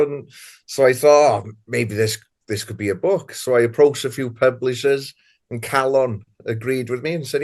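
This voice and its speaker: A man's voice: medium pitch, darkish tone. A man in his thirties from North Wales with a North Welsh accent, an author telling rambling anecdotes as a podcast guest. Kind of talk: casual podcast interview.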